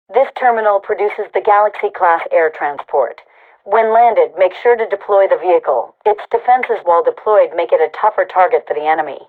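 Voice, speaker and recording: Tinny radio filter, female military commander, mid-pitched, clipped, authoritative, no-nonsense, video game announcer. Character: brisk, no-nonsense female military overseer rallying soldiers. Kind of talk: scripted game announcements and briefings. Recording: tinny narrowband radio transmission.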